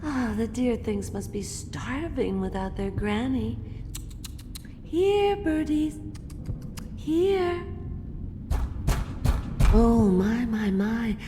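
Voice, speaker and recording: An actress playing a doddering, half-mad old granny in a video game, cooing sweetly and muttering to herself. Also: female voice, a little high, lively intonation, drawn-out words, pauses often, over music. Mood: uneasy muttering. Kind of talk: video game character acting. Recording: echoey hall, clear background noise.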